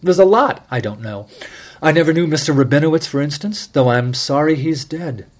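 A man voicing a calm, incisive amateur sleuth who lays out his case in measured, formal speech, for an audiobook. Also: fairly high pitch, pitched above the performer's own voice, lightly acted. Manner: measured, mild yet relentless, formal address.

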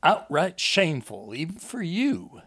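Audiobook role: earnest married lawyer, indignant and firm, acted by a male reader, with some vocal fry. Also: medium pitch, normal speed, no room sound, studio quality.

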